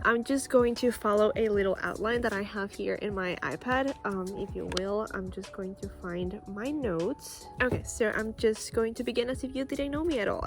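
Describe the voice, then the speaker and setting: A woman in her early twenties from Costa Rica, a native Spanish speaker, talks casually and earnestly to camera as she shares a personal story outdoors. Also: medium pitch. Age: early 20s. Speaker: vlogger. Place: outdoors, school playground near a road. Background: music, possible passing cars and birds.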